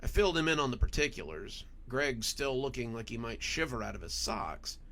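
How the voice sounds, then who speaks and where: A man's voice: mid-range voice, animated, brisk, fairly bright tone. A man narrating a scripted first-person horror story as its storyteller.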